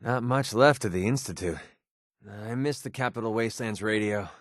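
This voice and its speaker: A man playing a young, wisecracking, cynical mercenary with a soft side in a video game, speaking in a wry, colloquial way. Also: fairly low pitch, moderate pace, no room sound, clean studio sound, natural voice. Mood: amused, cautious. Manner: wry, sarcastic, colloquial delivery. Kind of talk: scripted video game companion dialogue.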